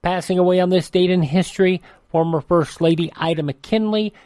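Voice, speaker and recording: A man's voice: high voice, normal speed, a little mellow, no room sound, studio quality. An American man hosting radio, reading a scripted rundown of events and dates in history. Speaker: radio host.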